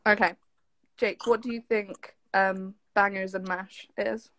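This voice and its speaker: A young woman with an English accent talks in a casual, giggly way, sharing bits of trivia. Her voice sits at an average pitch for a woman. The sound is dry, with little room echo. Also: fairly even intonation, unhurried, a bit bright. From southwest England. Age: young adult. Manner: giggly, informal. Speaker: guest, former camp staffer. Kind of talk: casual chat sharing fun facts.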